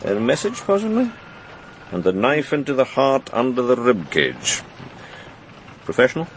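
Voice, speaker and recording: An actor playing a wry, brisk senior police chief in a radio crime drama, with measured, drawn-out emphasis. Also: male voice, medium pitch, sing-song, a little hiss or hum. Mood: wry, businesslike.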